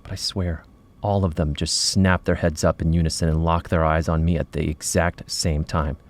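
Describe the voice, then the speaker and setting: A man's voice: low-pitched, flat delivery, normal speed. A man playing an uneasy paranormal investigator, narrating his story confidingly in an audio drama. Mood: uneasy, suspenseful. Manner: confiding, suspenseful. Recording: faint music under narration.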